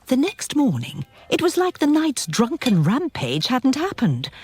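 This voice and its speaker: Lively-toned loyal companion. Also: female voice, high voice, measured pace, heavily acted. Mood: wry, reflective. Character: diva's loyal, patient female companion and storyteller. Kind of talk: radio play narration and dialogue.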